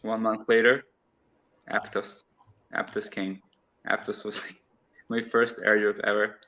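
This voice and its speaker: A man from Croatia chats as a guest over a video call, often laughing as he explains. His voice sits fairly low. The call audio is dull and lo-fi. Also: flat delivery, measured pace, pushed and bright. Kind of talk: casual online chat.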